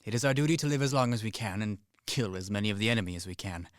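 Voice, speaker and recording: A man acting in a radio play as a desperate fugitive soldier, brisk, commanding and sardonic. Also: fairly low pitch, lively intonation, clear, resonant tone, no echo, clean studio sound, lightly acted. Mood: wry, menacing. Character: fugitive Serbian soldier, cynical, desperate, coolly threatening. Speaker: actor in a student radio play.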